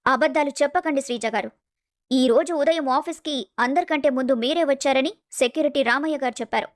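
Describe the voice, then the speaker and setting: Composed young professional woman, played by an actress: high pitch, courteous but firm, for a dramatized family story. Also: dry recording, studio quality, natural voice. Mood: firm, questioning. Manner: polite, measured, firm. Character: Geeta, composed, principled young office woman.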